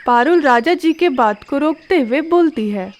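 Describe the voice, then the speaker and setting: A woman narrating a cartoon story in a steady, clear storytelling manner. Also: sits high, a little slow.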